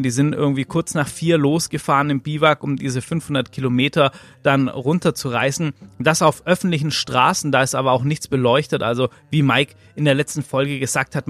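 A man's voice: mid-range voice, a bit bright, no echo. A man hosting a podcast on his own, talking casually and loosely with lots of filler sounds. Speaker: podcast host. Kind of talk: casual solo podcast commentary.

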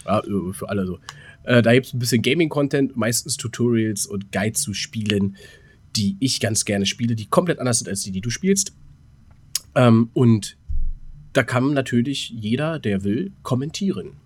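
A man's voice: medium pitch, animated, moderate pace, slightly rough. A man co-hosting a podcast, chatting casually in rambling anecdotes and often hesitating as he searches for words. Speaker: podcast co-host.

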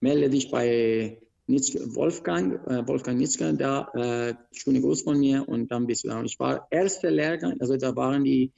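A man from Iran with an Iranian accent, a wrestler recounting his career in an interview, speaking in halting, broken phrases with many restarts. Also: mid-range voice, vocal fry, mellow, muffled recording. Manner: broken grammar, frequent restarts and hesitations. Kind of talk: interview, telling his life story.

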